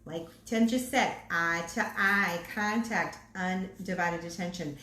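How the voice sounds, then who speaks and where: A woman's voice: on the low side, sharp tone. A talkative woman co-hosting a ministry chat about marriage. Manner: chatty, quick, self-described chatterbox. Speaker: ministry co-host. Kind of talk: casual marriage talk. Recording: echoey room, faint background noise.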